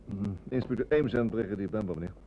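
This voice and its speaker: An actor with a Netherlands Dutch accent playing a deferential, chatty bank clerk in an old radio drama. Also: male voice, lowish voice, fast talker, close to an everyday voice. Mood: polite, deferential. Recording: dull, noisy old radio-play recording.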